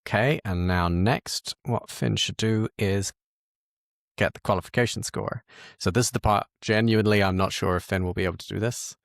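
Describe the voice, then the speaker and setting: A man with a lowish voice and a strong creak talks through a software walkthrough. He sounds informal, musing and correcting himself as he goes. The sound is close and dry. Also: steady tone, normal speed, no echo. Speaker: host of software tutorial. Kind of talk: casual screen-share walkthrough, thinking aloud.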